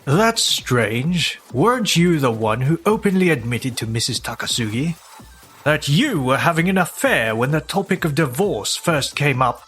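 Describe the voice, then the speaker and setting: A composed divorce lawyer played by a man speaks formally and to the point. It is manga drama acting, calm and professional, over faint music. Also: on the high side, normal speed, breathy edge.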